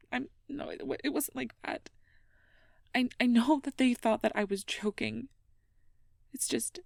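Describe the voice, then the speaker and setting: A woman playing a stressed, self-blaming young air mage who confides haltingly and half-whispered in an intimate ASMR role-play. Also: a little high, creaky, no echo, clean studio sound. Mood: hurt, near tears.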